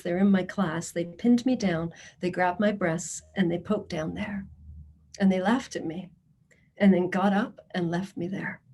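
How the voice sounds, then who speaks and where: A woman giving a prepared address to a committee as a social services presenter. She speaks in a measured, earnest way and reads testimonies in the first person. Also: mid-range voice, darkish tone.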